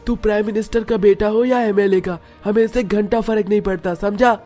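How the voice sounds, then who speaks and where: A man voicing a brash teenage schoolboy in an animated horror story. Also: high-pitched, fairly quick, natural voice. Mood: aggressive, taunting. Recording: music under the voice.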